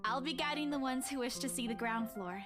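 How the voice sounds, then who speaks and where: A courteous female guide, played by a woman, instructs visitors in a video game. Her voice is high, with music clearly audible beneath it. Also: a little fast, close to an everyday voice. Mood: polite, composed. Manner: polite, instructing. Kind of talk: scripted game dialogue.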